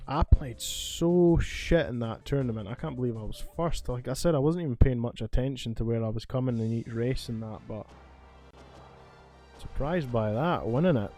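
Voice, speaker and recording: A man's voice: mid-range voice, moderate pace, mellow. A man giving rambling, sweary commentary as he plays a video game. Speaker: gaming video host. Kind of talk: casual gameplay commentary. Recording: faint game music behind. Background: video game music.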